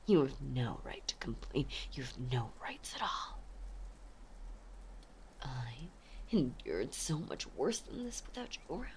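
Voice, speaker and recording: A woman playing an obsessive, possessive mafia heiress ex-girlfriend in a dramatic audio roleplay, with intense, menacing, theatrical delivery and a breathy voice. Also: extremely low pitch, big pitch swings, a little slow, pauses often, in a whisper, full character voice, poor audio. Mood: calm menace, then hurt, bitter. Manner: intense, menacing, theatrical, swinging between hush and outburst. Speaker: voice actor.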